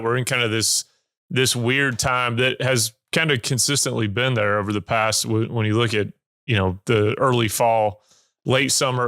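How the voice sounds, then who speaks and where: A man's voice: medium pitch, a bit bright, no room sound, clean studio sound. A man hosting a podcast, chatting casually in a loose, rambling way with lots of filler words. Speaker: podcast host. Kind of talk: casual interview chat.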